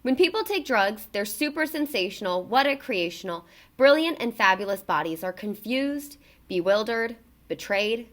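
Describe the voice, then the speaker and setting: A woman with a fairly high voice reads a children's book aloud. Her intonation swings widely and she stresses words expressively, pitching the narration to young listeners. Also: moderate pace. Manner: expressive, emphatic delivery for children.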